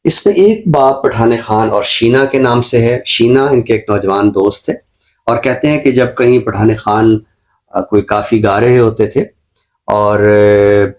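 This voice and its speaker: Man, Pakistani accent, low pitch, measured explanatory delivery, scholarly book-review talk. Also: darkish tone. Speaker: literary show presenter reviewing a book.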